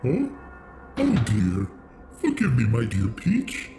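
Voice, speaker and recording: A man voicing a gentle, melancholy animatronic gentleman, formal, hesitant and tender, in a fan cartoon dub. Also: medium pitch, huge pitch swings, slow pace, over music, exaggerated voice. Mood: apologetic, sad. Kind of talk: fan comic dub acting. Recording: clear background noise.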